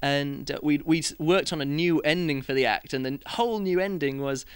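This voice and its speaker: A man's voice: on the high side, moderate pace, smooth. A man chatting casually as a podcast host, in a rambling, hesitant way and often restarting his sentences. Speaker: podcast host and juggling performer. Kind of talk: casual podcast chat, reviewing his year.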